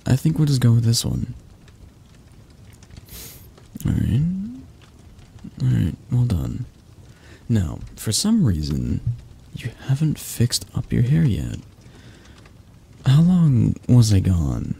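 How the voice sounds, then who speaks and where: A man playing a possessive, doting mafia boss in an ASMR roleplay, speaking in a husky, halting, intimate and condescending way. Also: on the low side, mellow, full character voice. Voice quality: husky, breathy. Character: possessive young male mafia boss, doting yet controlling.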